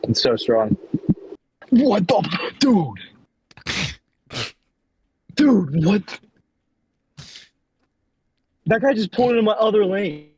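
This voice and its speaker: A man's voice: high-pitched, big pitch swings, slow, pauses often, a little mellow, a little hiss or hum. A man co-hosting a podcast in casual chat, speaking from a car while driving. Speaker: podcast co-host.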